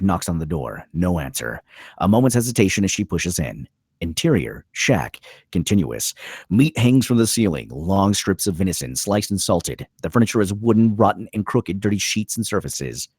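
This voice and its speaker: A man's voice: low-pitched, a little mellow, husky, no echo, clean studio sound. A man reading screenplay stage directions as scripted narration, in terse, dramatic phrases.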